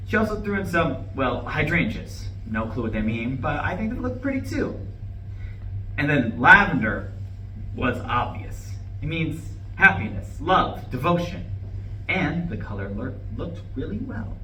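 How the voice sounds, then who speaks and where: A man's voice: a little high, bright, forceful tone. A man in a live play reading, playing a nervous, self-justifying husband who rambles and corrects himself. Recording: echoey distant live room recording, faint noise.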